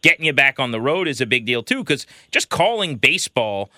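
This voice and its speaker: A man's voice: medium pitch, very animated, a little fast, pushed and bright, dry recording, studio quality. A man co-hosting a sports talk show, speaking conversationally in an emphatic, opinionated way.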